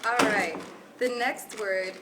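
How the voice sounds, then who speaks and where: Woman playing a slow, earnest puppet experiment participant. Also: mid-range voice, steady tone, recorded from a distance, lightly acted. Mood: polite, apologetic. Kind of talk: amateur puppet show acting. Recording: clear background noise.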